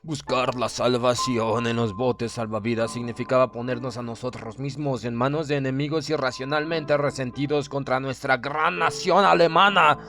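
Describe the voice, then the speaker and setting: A man reading a horror story as a dramatic audiobook narrator, in the role of a stern German submarine officer, with measured, theatrical delivery. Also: medium pitch. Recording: narration over clear background music. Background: music.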